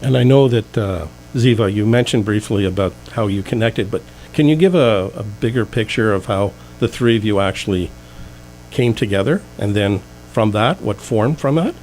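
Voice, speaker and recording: A man's voice: fairly low pitch, normal speed, darkish tone, slight background noise, rough recording. A man hosting a radio talk show from a studio, reading out contact details in an announcer-like way before talking with guests.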